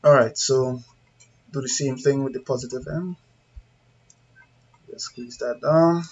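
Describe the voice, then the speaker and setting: A Trinidadian man with a mid-pitched voice talks through a practical installation demo. His delivery is informal and plain-spoken, like a tradesman showing how it is done. The sound is dull and lo-fi, with faint noise behind him. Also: a little slow. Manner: conversational, plain-spoken, checks in with viewers. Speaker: CCTV installer giving a tutorial. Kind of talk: informal hands-on demonstration, talking through steps.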